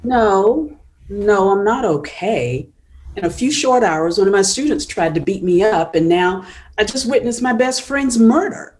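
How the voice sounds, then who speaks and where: A woman's voice: medium pitch, expressive, unhurried, darkish tone, close to an everyday voice. An actress reading a shaken but firm teacher who stands up to her supervisor in a table read. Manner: firm, exasperated.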